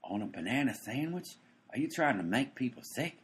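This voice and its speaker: An actor playing a gruff, bossy sandwich-shop owner in a comedic radio play. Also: male voice, medium pitch, very animated, fairly quick, close to an everyday voice. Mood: grumpy.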